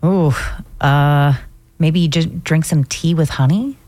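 A woman's voice: low-pitched, slow pace, dark tone, dry recording. A woman co-hosting a morning radio show, chatting casually and offering tentative suggestions. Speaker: radio co-host. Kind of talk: casual on-air banter.

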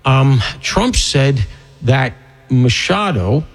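Husky-voiced male talk radio host. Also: medium pitch, very animated, drawn-out words. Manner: chatty, jokey, frequently interjects agreement.

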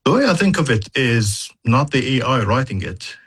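A man who speaks English as a second language, explaining things as an educator in a measured interview conversation, using examples. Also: mid-range voice, very animated, fairly bright tone, breathy edge. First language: not English. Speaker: university educator in data analytics.

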